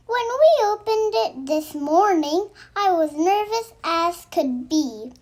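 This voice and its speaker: A female reader telling children's verse in an animated, expressive, sing-song storytelling style. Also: very high voice, takes it slowly. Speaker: children's book narrator.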